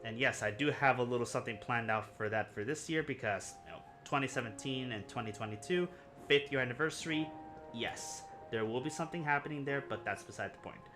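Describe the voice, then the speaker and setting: A man's voice: mid-range voice, a little fast. A young man from Puerto Rico hosting a film review channel, telling a personal story in an earnest, rambling way that often corrects itself. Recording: music playing underneath.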